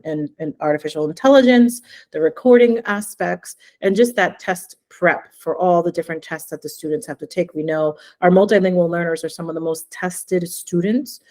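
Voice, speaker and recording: A woman's voice: lowish voice, darkish tone. A middle-aged woman from New Jersey, presenting in a webinar as an education consultant, enthusiastic and full of "um" fillers. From New Jersey, USA. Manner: enthusiastic, uses many "um" fillers.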